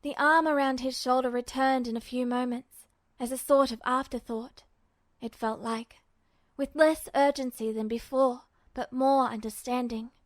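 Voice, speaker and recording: A woman's voice: high-pitched, fairly even intonation, normal speed, dry recording. A woman reading scripted fiction aloud as the narrator of a story. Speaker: podfic narrator.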